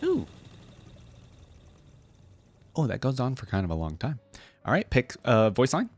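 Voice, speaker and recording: A man hosting a casual reaction video, reading aloud and commenting conversationally as he thinks out loud. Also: medium pitch, wildly swooping pitch, measured pace, no echo. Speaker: YouTube reaction host.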